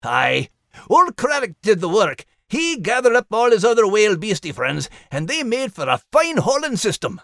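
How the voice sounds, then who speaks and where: A man playing a hearty male terrier, folksy and friendly, his pitch swooping widely. The sound is dry and close. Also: wildly swooping pitch, normal speed, vocal fry, fairly bright tone, no room sound, heavily acted. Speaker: podcast co-host.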